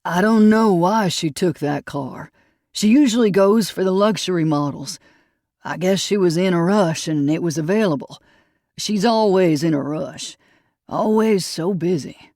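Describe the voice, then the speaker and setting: An audiobook narrator voicing a distraught middle-aged husband from Texas who speaks in a thick drawl, in pained, halting lines. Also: medium pitch, darkish tone, dry recording, studio quality, lightly acted. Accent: Texas drawl (as played). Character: grieving middle-aged Texan husband with gray hair.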